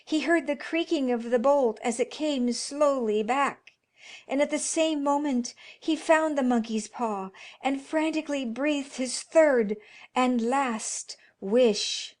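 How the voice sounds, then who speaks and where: A woman reading a classic horror story as narrator, dramatic and expressive, at times dropping into hushed tones. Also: high voice, takes it slowly.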